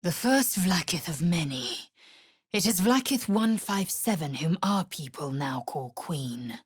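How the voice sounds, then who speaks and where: A woman voicing a fierce, proud githyanki warrior woman in a video game, with a husky voice and clipped, imperious, formal speech. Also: on the low side, expressive, measured pace, no echo, clean studio sound, heavily acted. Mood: proud, zealous. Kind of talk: video game character voice acting.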